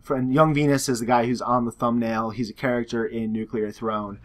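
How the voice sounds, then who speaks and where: Hoarse young man rambling through viewer questions. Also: medium pitch, little pitch movement, a little fast. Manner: rambling, filler-heavy, self-correcting. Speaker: gaming YouTuber. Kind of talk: casual Q&A reading out viewer questions.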